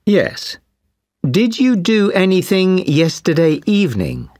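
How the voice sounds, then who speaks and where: A woman playing an examiner in a speaking test, asking each question slowly and clearly. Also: lowish voice, huge pitch swings, darkish tone, no echo, clean studio sound. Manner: slow, clearly spaced questions. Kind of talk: scripted exam interview.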